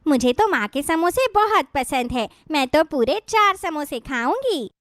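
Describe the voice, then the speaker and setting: A woman voices a little daughter in a children's story. The voice is childlike and bubbly, pitched very high with animated swings. She chatters eagerly, and the recording is close and dry. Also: unhurried, no room sound, exaggerated voice. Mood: excited. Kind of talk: bedtime-story dialogue.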